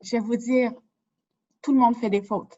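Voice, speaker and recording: Une femme bibliothécaire qui mène une entrevue d'un ton amical. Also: female voice, fairly high pitch, fairly even intonation, moderate pace, warm tone. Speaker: interviewer, librarian. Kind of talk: conversational interview. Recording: dull lo-fi sound.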